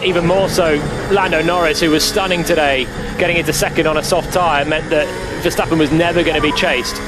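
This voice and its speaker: A man's voice: on the high side, fairly even intonation, a little fast, music in the background, low-quality recording. A man co-commentating on a live motor race, giving analytical expert remarks. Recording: clear engine noise behind. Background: racing car engines.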